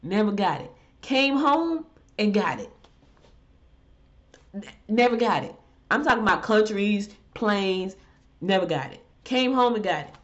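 A woman's voice: very animated, a little slow, pauses often. A middle-aged woman hosting a casual solo talk show, stuffy from a head cold, chatting loosely and ranting in exasperation. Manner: chatty, exasperated rants with rising pitch. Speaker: show host. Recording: dull lo-fi audio.

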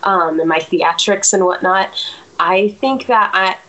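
A woman's voice: medium pitch, a little slow, sharp tone, muffled recording. A woman in her thirties, a singer, talking reflectively in a casual interview with many fillers and restarts.

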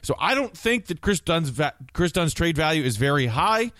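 A man's voice: a little high, very animated, normal speed, pushed and bright, no echo, clean studio sound. An American man co-hosting a sports podcast, giving blunt, emphatic opinions on basketball in a conversational way.

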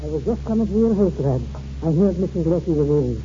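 An actor playing a patient, fatherly priest, pious and gently insistent, in a 1940s radio drama. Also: fairly high pitch, animated, a little fast, a little hiss or hum, close to an everyday voice. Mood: solemn concern. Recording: dull 1940s radio broadcast.

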